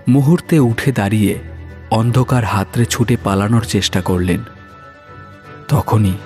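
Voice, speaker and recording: Male horror narrator, lowish voice, suspenseful delivery with dramatic pauses, faint music underneath. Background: soft music.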